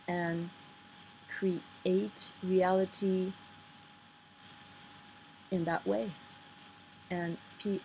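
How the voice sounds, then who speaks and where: A woman giving an informal, earnest spoken commentary, pausing between phrases and sometimes reading aloud, on a noisy amateur recording. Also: mid-range voice, darkish tone. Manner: earnest, halting delivery with pauses between phrases. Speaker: vlogger. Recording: noisy amateur recording, poor sound quality.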